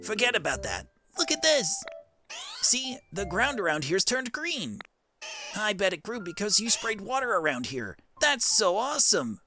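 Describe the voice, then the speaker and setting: A man's voice: sits high, very animated, a little slow. A man voicing a small young turtle creature in a cartoon game, earnest, friendly and naive, with a simple, boyish delivery. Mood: friendly, eager. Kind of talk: cartoon game character dub. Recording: music behind the voice.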